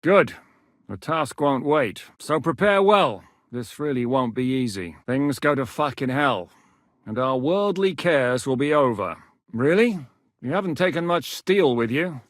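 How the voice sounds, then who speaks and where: An actor playing a battle-hardened, commanding mercenary captain in a game, blunt and quick to swear. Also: male voice, medium pitch, expressive, no room sound, clean studio sound, natural voice. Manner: gruff, blunt soldier's talk with frequent swearing. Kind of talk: game voice lines.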